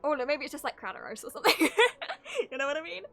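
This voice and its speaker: A woman's voice: high voice, big pitch swings, fast talker, gravelly, sharp tone, soft background music. A woman streamer giving casual live reaction commentary to a video game, chatty, rambling and giggly.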